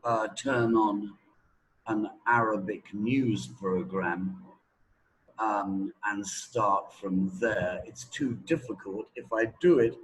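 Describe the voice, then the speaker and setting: A man's voice: on the low side, poor audio. A man explaining a point as a teacher, in a didactic way with check questions. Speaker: English teacher. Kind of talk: explanatory teaching talk to a learner.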